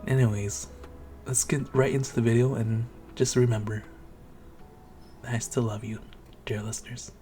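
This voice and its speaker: A man's voice: medium pitch, steady tone, moderate pace, long pauses, warm tone, soft background music. A young man talking casually to his listeners as the creator of an audio channel. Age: young adult. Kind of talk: casual intro addressed to listeners.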